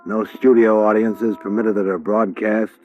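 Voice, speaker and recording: Actor as low-voiced hard-boiled American radio detective. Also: male voice, level tone, normal speed, darkish tone, soft background music, close to an everyday voice. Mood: wry narrating. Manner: clipped, wry, hard-boiled. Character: male insurance investigator, wry, persistent, hard-boiled narrator. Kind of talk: 1950s radio detective drama.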